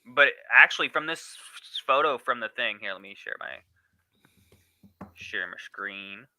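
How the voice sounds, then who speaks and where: A man with a very bright, forward voice and a mid-range pitch, recorded dry and close. He chats casually as a podcast co-host, often hesitating and picking his way through a thought. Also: expressive, slow pace, no room sound. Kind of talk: casual chat.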